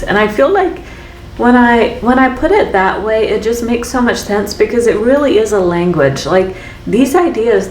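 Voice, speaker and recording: A woman's voice: fairly low pitch, a little mellow, distant mic. A woman artist hosting a painting vlog, talking casually and reflectively while she mixes paint in her studio, with lots of fillers and little tag questions. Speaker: artist and channel host. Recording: echoey room, voice off-mic.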